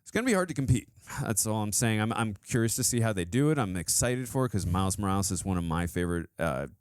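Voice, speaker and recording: Man, deep resonant voice, casual review chat, effusive, emphatic, dry close sound. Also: expressive, fast, clear, resonant tone, dry recording. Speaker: reaction show host.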